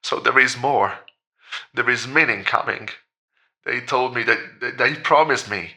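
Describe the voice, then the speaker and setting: An actor playing a grieving father in a horror audio drama, desperately clinging to faith, pleading in halting, insistent repetitions. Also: mid-range voice, sharp tone. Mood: desperate, fervent. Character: grieving father, desperate believer in a promised resurrection.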